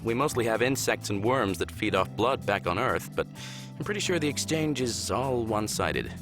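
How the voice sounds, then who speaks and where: Actor as animated easygoing spaceman over music. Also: lowish voice, moderate pace, natural voice. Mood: curious, friendly. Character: friendly, easygoing human spaceship crewman. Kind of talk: visual novel game voice acting. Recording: music clearly playing behind.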